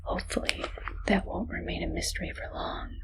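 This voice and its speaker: A woman playing a friendly but evil scientist in an ASMR roleplay, whispering softly in a gentle, teasing, unhurried way. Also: close to an everyday voice. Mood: playful menace. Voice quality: soft breathy whisper. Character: friendly but evil female mad scientist, playfully menacing.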